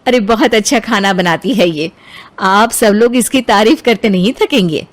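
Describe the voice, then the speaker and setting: A woman's voice: medium pitch, moderate pace, lightly acted. A woman voicing a persuasive, coaxing middle-aged mother in a Hindi cartoon. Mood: eager. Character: coaxing middle-aged Indian mother, eager to see daughter married.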